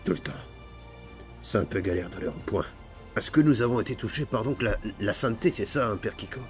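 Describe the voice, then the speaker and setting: A man's voice: fairly low pitch, animated, brisk, darkish tone, faint music behind, lightly acted. A man playing an elderly pious ex-convict priest with absurdly formal, mock-solemn phrasing in improvised game roleplay. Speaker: gaming roleplay streamer. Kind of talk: improvised comic roleplay narration.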